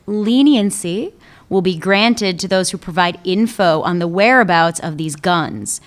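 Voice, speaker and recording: A woman voicing a shrewd, tenacious female police chief in a radio play, speaking in a cool, measured way. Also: lowish voice, natural voice. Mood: probing.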